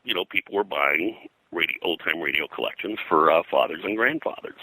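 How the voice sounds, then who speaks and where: Very deep-voiced older American man, bright edge. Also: fairly quick, husky, no room sound, low-quality recording. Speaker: guest, comics colorist and publisher. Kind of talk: reminiscing interview talk.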